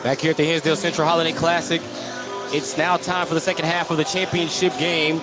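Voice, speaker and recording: Man, fairly high voice, play-by-play announcer, live basketball radio commentary. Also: level tone, quick pace, over loud music.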